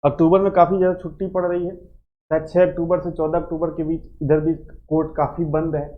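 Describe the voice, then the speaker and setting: Higher-pitched man, informal explainer. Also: narrow, tinny audio. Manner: repeats points and checks in with "okay" tags. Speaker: host of exam and recruitment update channel. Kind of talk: informal explanatory update addressed to viewers.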